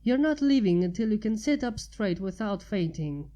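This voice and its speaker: A woman's voice: mid-range voice, animated, moderate pace, dark tone, natural voice. A woman voicing a caring, easily embarrassed young female pony in a storybook reading, with a hesitant, stammering manner. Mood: gently firm.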